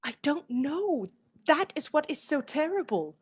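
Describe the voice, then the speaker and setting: A woman acting in a radio play as a fragile, affectionate young gentlewoman, speaking gently and expressively. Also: sits high, very animated, measured pace. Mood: frail, fearful.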